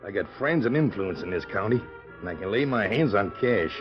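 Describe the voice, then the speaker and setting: An actor playing a tough, vengeful outlaw gang leader in a 1940s radio western, with rough, drawling frontier talk. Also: male voice, lowish voice, expressive, brisk, over music, close to an everyday voice. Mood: defiant. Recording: dull, muffled old 1940s radio recording.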